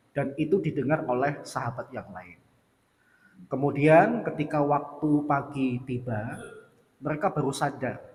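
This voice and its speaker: A man preaching a study lecture in a mosque, speaking with a sore throat in a steady teaching tone and reciting Arabic prayers and sayings. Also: mid-range voice. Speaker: Islamic preacher. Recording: distant voice with long hall reverb and faint noise.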